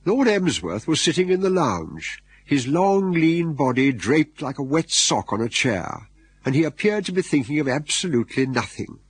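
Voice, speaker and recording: A man with an English accent and a husky voice, playing a wry storyteller narrating a radio comedy drama. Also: a little high, big pitch swings, moderate pace, dry recording. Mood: wry, amused. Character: wry storyteller describing a comic English aristocrat. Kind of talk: scripted literary narration.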